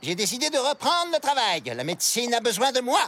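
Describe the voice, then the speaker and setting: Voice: medium pitch, very animated, fairly quick, fairly bright tone, a little hiss or hum. An actor playing a theatrical male veterinarian in a French cartoon, who swings between whining and boasting. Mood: triumphant, boastful. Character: self-pitying, then grandiose male veterinarian. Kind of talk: cartoon voice acting. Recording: music bed behind the voice.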